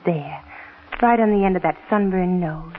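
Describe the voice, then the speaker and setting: An actress playing a possessive, jealous young wife who can be sweet or sharp, in 1940s radio drama style. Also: female voice, lowish voice, normal speed, heavy background noise, natural voice. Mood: affectionate. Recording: tinny 1940s radio broadcast recording.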